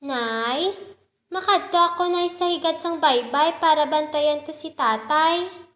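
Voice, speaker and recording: A woman's voice: sits high, measured pace, fairly bright tone, roomy sound, faint music behind. A woman voicing a kind, hardworking young girl who sells fish and speaks politely to her elders.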